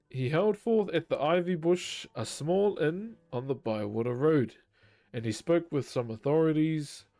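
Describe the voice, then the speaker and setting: A man reading a novel aloud on a live stream, chatting casually with viewers between passages and swearing freely. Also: on the high side. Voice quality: naturally loud voice. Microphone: desk microphone.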